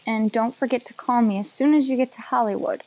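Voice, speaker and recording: A woman's voice: on the high side, a little fast, darkish tone, lightly acted. A woman playing a loving, worried mother of a grown son in an old-time radio drama, hesitant and pleading. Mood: worried, tender.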